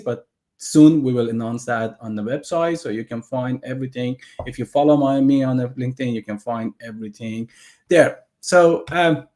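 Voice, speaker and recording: A man's voice: mid-range voice, a little mellow. A man from Iran with an Iranian accent, a native Persian speaker, eagerly giving a technical presentation over a video call and often restarting his phrases. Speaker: guest presenter.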